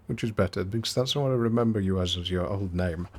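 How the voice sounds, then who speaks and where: A man's voice: deep voice, fast. A man streaming a game from home, chatting casually and poking fun at himself while answering viewers. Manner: chatty and self-deprecating, answers viewers' chat aloud. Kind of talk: casual live-stream chat while gaming. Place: home, not soundproofed.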